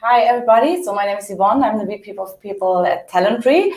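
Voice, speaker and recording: A woman's voice: mid-range voice, fast, a little mellow. A woman with a Bavarian German accent, a native German speaker, introducing herself as a panel guest. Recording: remote, roomy and distant.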